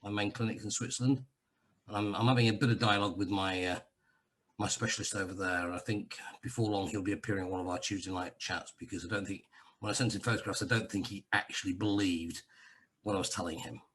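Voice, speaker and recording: A man's voice: lowish voice, steady tone, fast talker, a bit bright, husky. An Englishman living in Cyprus, chatting casually as a guest, rambling and self-deprecating with frequent restarts. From England. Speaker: guest sharing a testimonial. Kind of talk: casual video-call chat.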